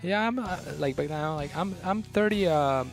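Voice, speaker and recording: A man's voice: fairly high pitch, normal speed, faint music behind. A man in his thirties giving casual esports commentary, often repeating words as he goes. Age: 30s. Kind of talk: casual chat, esports analysis.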